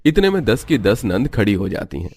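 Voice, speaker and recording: Low-pitched man narrating a story. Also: big pitch swings, normal speed, a little mellow, husky, no room sound. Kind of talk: scripted Hindi cartoon story narration.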